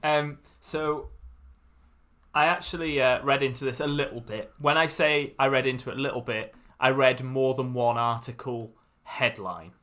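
A man's voice: mid-range voice, steady tone, normal speed, pushed and bright. A man with a Welsh accent co-hosting a podcast, dry and understated. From Wales. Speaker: podcast co-host. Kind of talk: casual chat.

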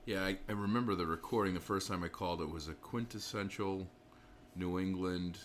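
A man's voice: low-pitched, fairly quick. A man interviewing in a casual, conversational way, full of effusive compliments. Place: small pub off an inn lobby. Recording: noticeable background noise.

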